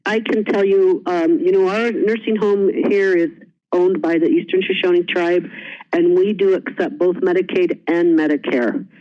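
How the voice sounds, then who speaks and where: A woman speaks as a panelist, offering practical advice off the cuff. Her manner is plain and explanatory. The audio is slightly muffled, as on a conference call. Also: lowish voice. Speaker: nursing home panelist. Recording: dull, band-limited conference call audio.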